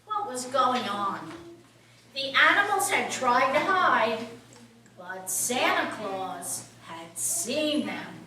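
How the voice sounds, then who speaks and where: Slow, high-voiced woman storyteller. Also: sing-song, pushed and bright, slight background noise. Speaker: narrator. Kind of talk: scripted children's story narration. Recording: echoey, distant, music behind.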